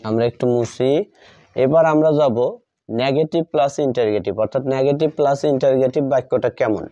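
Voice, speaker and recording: A man teaching a grammar lesson step by step, deliberately repeating phrases and answering his own questions. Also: mid-range voice, warm tone. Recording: dull lo-fi sound.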